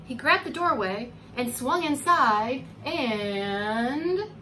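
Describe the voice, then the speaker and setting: A woman reads a picture book to small children in a high, very lively voice that swings up and down. She stretches key words and breaks off to ask coaxing questions like a preschool teacher. The home recording has clear background noise. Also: extremely slow. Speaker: pre-kindergarten teacher reading a storybook aloud. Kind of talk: animated children's story read-aloud with asides to young listeners.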